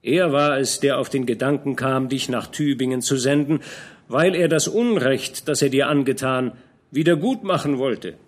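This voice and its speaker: A man's voice: medium pitch, moderate pace, voice pushed up, close to an everyday voice. Man reading as a shrewd fatherly old captain. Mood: admonishing. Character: older male field captain, shrewd, fatherly.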